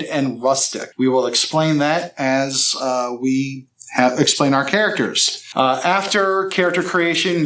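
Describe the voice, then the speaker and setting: A man's voice: fairly high pitch, fairly bright tone. A man acting as game master, explaining tabletop game rules to a group around a table. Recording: roomy echo.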